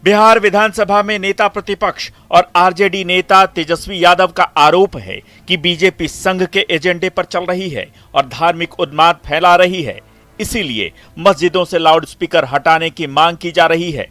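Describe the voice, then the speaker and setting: A man's voice: high voice, moderate pace, bright, forceful tone, faint music behind. A man reading a scripted news report as the reporter.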